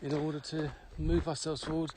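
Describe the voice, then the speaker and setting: A man's voice: on the high side, flat delivery, moderate pace. A man co-hosting a podcast in casual conversation, speaking in an opinionated, emphatic way with repeated phrases. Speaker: podcast co-host. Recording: clearly noisy background with clicks.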